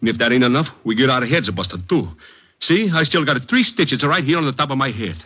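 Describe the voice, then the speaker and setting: Guy doing a naive butcher, husky voice, anxious broken english, muffled old radio recording. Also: mid-range voice, lively intonation, fairly quick, close to an everyday voice. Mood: worried, earnest. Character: worried, earnest immigrant male neighborhood butcher. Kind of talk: 1940s-50s radio drama acting.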